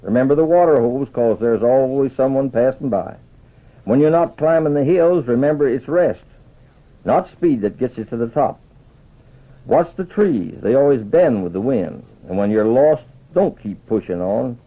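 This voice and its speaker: A man's voice: medium pitch, lively intonation, normal speed. A man voicing an old trapper and scout who talks in folksy cowboy phrases, in western radio storytelling. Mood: sage, advising. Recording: muffled old radio transcription.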